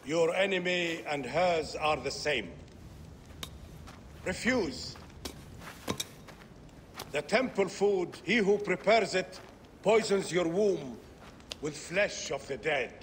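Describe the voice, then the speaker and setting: Slow male seer, solemn, commanding, raised pitch, oracular game speech, long reverb. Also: expressive. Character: solemn, commanding seer delivering sacred visions. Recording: long echo, faint ambient noise. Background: faint ambience.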